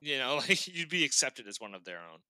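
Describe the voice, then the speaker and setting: A young man from Boston, a podcast guest in casual chat, animated and quick to laugh. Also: medium pitch, a loud crowd. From Boston, Massachusetts. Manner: animated storyteller, laughs often.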